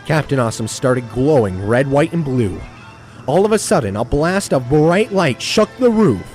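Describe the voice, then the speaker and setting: A man's voice: mid-range voice, animated, normal speed, darkish tone, faint music behind. A man narrating an action story as a dramatic, scripted storyteller.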